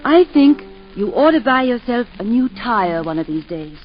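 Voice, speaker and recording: A wife and mother gently teases and coaxes her husband. Her voice is fairly high, with a lively, swooping pitch. The sound is the dull, muffled tone of a 1940s radio broadcast. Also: moderate pace, soft background music. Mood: affectionate. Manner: gently teasing, coaxing. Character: warm middle-aged English mother and wife, teasing. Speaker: narrator and lead.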